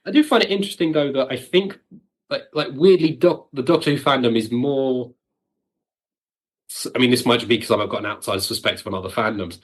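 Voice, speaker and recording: A man's voice: mid-range voice, a little fast. A man in casual interview conversation as a guest, thinking aloud and hedging as he goes. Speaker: guest, audio drama producer.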